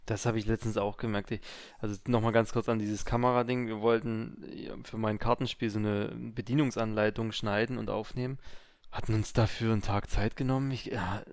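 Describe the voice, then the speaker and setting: Man w east german accent, lowish voice, chatty, self-mocking, dry sound. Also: little pitch movement, a little fast, smooth, no room sound. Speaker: podcast co-host. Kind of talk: casual chat.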